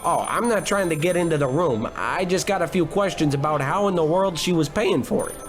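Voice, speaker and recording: An actor playing a wisecracking, cheap private detective with a deadpan, hard-boiled delivery in 1940s radio noir parody. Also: male voice, fairly high pitch, brisk, natural voice. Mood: deadpan questioning. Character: wisecracking, down-on-his-luck male private detective.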